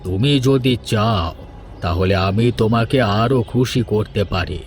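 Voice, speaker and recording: A man playing a sinister, greedy holy man in a cartoon fairy tale, speaking in a slow, calculating, menacing way. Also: lowish voice, background noise, natural voice. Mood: sly, menacing. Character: sinister, greedy male holy man who sacrifices children.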